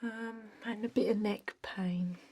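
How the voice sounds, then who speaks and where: Casting: woman as energy vampire clerk for ASMR roleplay; mellow, breathy half-whisper, deadpan and rambling, faint background noise. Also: medium pitch, unhurried, natural voice. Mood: matter-of-fact. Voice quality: soft, breathy, often whispered. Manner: hesitant, rambling, deadpan workplace small talk. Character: chatty energy vampire office clerk, drily jokey.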